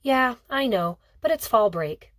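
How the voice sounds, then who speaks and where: A woman's voice: on the high side, lively intonation, unhurried, a bit bright, no room sound, clean studio sound, voice pushed up. A woman voicing an earnest teenage schoolgirl in an audiobook, questioning her father in a wary, probing way. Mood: hesitant. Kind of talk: audiobook character dialogue.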